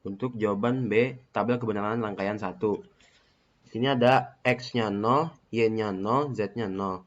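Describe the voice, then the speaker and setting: A man's voice: fairly low pitch, a little slow. Young man working through boolean steps. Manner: methodical, repetitive, with hesitant fillers. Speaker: student presenting a case study. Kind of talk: reading out truth-table steps. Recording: muffled lo-fi recording.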